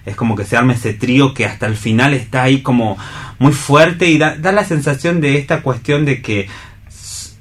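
A man with an Argentine accent talks casually as a radio panellist. His voice sits at a middling pitch, and he thinks aloud about literature, reaching for the right words. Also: normal speed. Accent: Argentine Spanish. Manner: reflective, searching for words.

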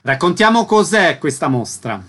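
A man's voice: a little high, big pitch swings, normal speed, a bit bright, a little hiss or hum. A man presenting a radio programme, introducing guests and asking short questions in a scripted style. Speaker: radio interviewer and host.

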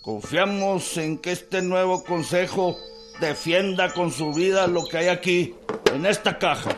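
Slow, high-pitched Mexican village elder. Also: male voice, music in the background. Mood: solemn. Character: solemn old village elder entrusting the town's papers. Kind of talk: Spanish-language radio drama acting.

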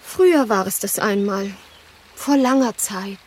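An actress playing an enigmatic young noblewoman in a radio drama, cryptic and insistent, with a breathy, sometimes hushed voice. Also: medium pitch, a little slow, slight background noise, natural voice. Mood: intimate, probing. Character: Camilla, enigmatic young noblewoman with hidden ancient past. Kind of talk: scripted German radio drama acting.

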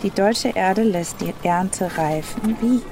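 A woman's voice: medium pitch, slow pace, noisy background. A young woman playing a cocky, playful thief in a German radio drama, easygoing in her talk. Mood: curious, halting.

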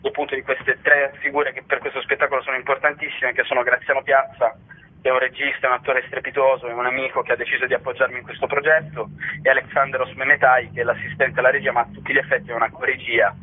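Male interviewee, Roman Italian accent, talkative and explanatory, medium pitch, heard over a narrowband phone connection. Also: little pitch movement, fast, breathy edge. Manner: talkative, explanatory, often adds tag questions. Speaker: actor and author interviewed about his show. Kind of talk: informal radio phone interview. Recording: muffled narrowband phone line on radio.

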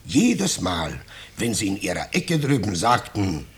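An actor playing an ingratiating vagrant in a 1950s German radio play, speaking with unctuous false modesty and quiet menace. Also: male voice, lowish voice, wildly swooping pitch, measured pace, slightly rough, exaggerated voice. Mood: flattering. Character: ingratiating vagrant stranger, slyly menacing, mock-humble. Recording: noisy 1950s radio drama recording.

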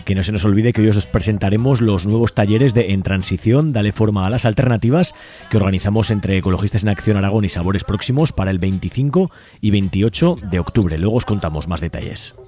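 Low-voiced man hosting casual radio. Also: fairly quick, dark tone, soft background music. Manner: informal, chatty, colloquial. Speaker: radio host. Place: radio studio.

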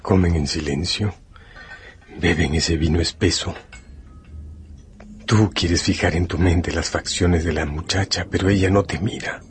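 A man narrating a scripted radio drama in a measured, solemn storytelling manner. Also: sits low, steady tone, slight background noise.

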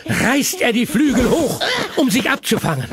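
A man's voice: very high-pitched, sing-song, normal speed, noisy background. A man narrating a children's audio play as a playful, dramatic storyteller.

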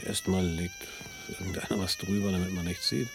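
An actor playing a cool, cynical expatriate in a naturalistic radio drama, with a gravelly voice and a laconic, matter-of-fact manner. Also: male voice, low voice, huge pitch swings, brisk, over music. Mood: guarded, matter-of-fact. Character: cool, cynical male expatriate living in Tunisia. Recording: clear background noise of chirping crickets. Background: crickets, outdoor ambience.

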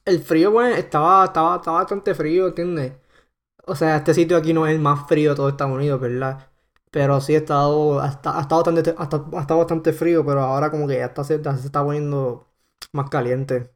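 A young man from Puerto Rico with a Puerto Rican accent, chatting casually on a podcast and filling pauses with "este". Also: a little high, fairly even intonation. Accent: Puerto Rican Spanish. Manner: rambling, heavy on fillers. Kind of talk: casual chat.

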